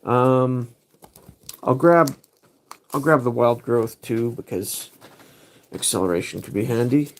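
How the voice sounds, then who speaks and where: A man's voice: medium pitch, takes it slowly, long pauses. A man hosting a casual livestream, thinking aloud as he picks cards. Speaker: livestream host.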